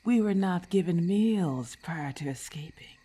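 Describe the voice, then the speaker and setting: A woman voicing a haughty, predatory snake-monster lamia in an ASMR roleplay, imperious and disdainful, with deliberate formal phrasing. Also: fairly low pitch, lively intonation, dark tone, husky. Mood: menacing, commanding.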